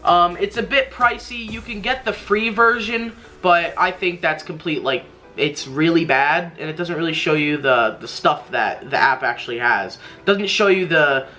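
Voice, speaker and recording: A man's voice: on the high side, moderate pace, pushed and bright, soft background music. A young man hosting a casual step-by-step tutorial in an informal, rambling, slangy way, recorded indoors in a small room. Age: young adult. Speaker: host of a tech tutorial.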